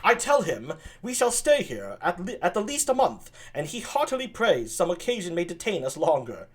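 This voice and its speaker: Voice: mid-range voice, huge pitch swings, normal speed, pitched above the performer's own voice. A reader voicing a genial prince addressing his company, formal and raised.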